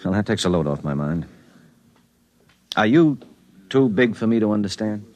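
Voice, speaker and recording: A man's voice: lowish voice, expressive, normal speed, darkish tone, lightly acted. An actor playing a worried, skeptical married man in a 1950s radio drama, plainspoken and wry.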